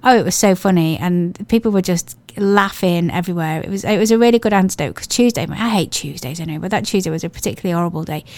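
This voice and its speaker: A woman with an English accent presenting a radio show, her voice croaky and hoarse from a cold, chatting in a gushing, rambling way. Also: on the low side, darkish tone, no room sound. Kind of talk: casual radio chat.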